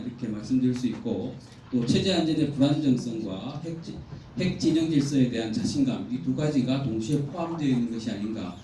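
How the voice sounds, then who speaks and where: A man's voice: mid-range voice, mellow. Man presenting in an echoey hall. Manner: measured, formal, explanatory. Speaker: academic presenter. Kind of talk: conference presentation from slides. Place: indoor conference hall. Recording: echoey hall, distant mic, faint noise.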